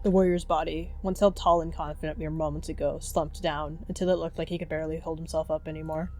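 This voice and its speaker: A woman's voice: low voice, little pitch movement, fast talker, a little mellow, a little hiss or hum. A woman reading scripted story narration for an animal roleplay.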